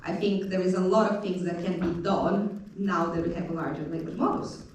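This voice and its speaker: A woman's voice: fairly low pitch, normal speed, a little hiss or hum. Chatty woman panelist in an echoey room. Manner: lively and chatty, with light joking. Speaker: panelist, senior lecturer. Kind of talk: opening remarks on a panel. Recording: very echoey room, distant mic.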